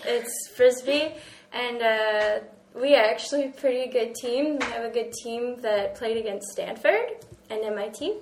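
A woman's voice: on the high side, a little slow, few pauses, slight background noise. A young woman from California chatting casually about her small university. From California, United States. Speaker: university student. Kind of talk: casual chat. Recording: echoey room.

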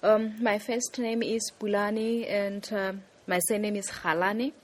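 A woman's voice: medium pitch, steady tone, measured pace. Frank woman. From Lesotho. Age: 20s. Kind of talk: self-introduction.

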